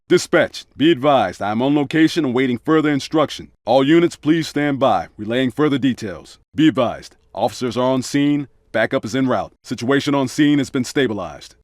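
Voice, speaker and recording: An actor playing an undercover police officer in a video game, giving brisk, commanding tactical callouts in a clipped way. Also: male voice, medium pitch, dry recording, lightly acted. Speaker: video game player character voice. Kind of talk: video game combat callouts and radio dispatch reports.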